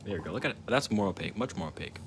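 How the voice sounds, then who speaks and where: A man's voice: on the low side, lively intonation, very fast, a bit bright. A young man with a stutter chatting casually on a livestream while he paints, rambling and reflective, repeating words and restarting sentences. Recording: noticeable ambient noise.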